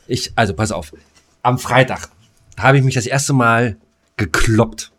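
A man ranting casually as a podcast co-host, using crude slang in an agitated, halting way. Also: medium pitch, a bit bright.

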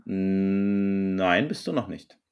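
A man co-hosting a podcast, playing a casual guessing game, unhurried and playful and laughing easily. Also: low voice, expressive, clear, resonant tone, dull audio, faint music behind. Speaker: podcast co-host.

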